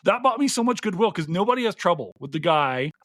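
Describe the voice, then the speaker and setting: A man's voice: high-pitched, big pitch swings, quick pace, a bit bright, no room sound. Chatty joking man, dry close sound. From Florida, USA. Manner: joking, chatty, self-deprecating. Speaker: podcast host. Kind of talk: casual livestream chat.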